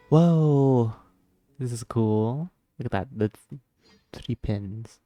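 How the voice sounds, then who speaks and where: A man's voice: on the low side, slow pace, warm tone, no echo. A man giving casual gameplay reaction commentary, gushing and easily awed. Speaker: gameplay video host. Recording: game music behind the voice. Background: game music.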